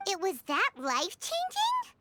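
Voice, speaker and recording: Casting: tiny cheeky fairy sidekick for a game, extremely high childlike pitch, wildly animated delivery. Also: female voice, a little slow, noisy background, heavily acted. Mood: cheeky, skeptical. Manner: speaks of self in third person, cheeky. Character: small childlike floating fairy companion, cute and bluntly opinionated. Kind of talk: video game voice acting.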